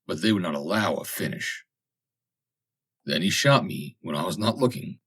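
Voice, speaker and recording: A man's voice: low voice, animated, normal speed, fairly bright tone, slightly rough, heavily acted. A man reading an audiobook, playing a proud wounded young navy lieutenant who speaks with pained, agitated feeling. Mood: heated. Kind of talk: dramatic audiobook character voice.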